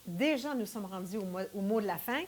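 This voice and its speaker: Woman, debate moderator, brisk and businesslike, mid-pitched voice. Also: animated, slight background noise. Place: TV studio.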